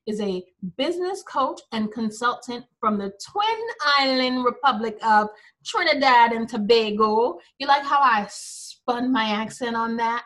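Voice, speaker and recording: Podcast host, female, slightly high voice, bright tone, chatty and upbeat. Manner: upbeat, chatty, laughs easily. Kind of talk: casual interview hosting.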